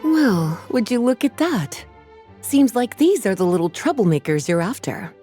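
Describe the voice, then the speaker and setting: A sultry, unhurried witch librarian, played by a woman for a video game. Her mellow, warm voice moves in lively melodic curves as she explains things at a leisurely pace with a teasing edge. Faint music plays behind her. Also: mid-range voice, natural voice. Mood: explaining. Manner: languid, unhurried, gently teasing.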